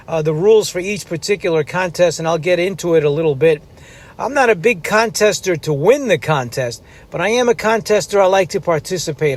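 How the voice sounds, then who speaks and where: A man hosting a hobby radio channel, talking casually and haltingly as he explains things in an informal vlog style. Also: high-pitched. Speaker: host of a ham radio channel. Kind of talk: casual vlog talk about radio operating. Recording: clear background noise.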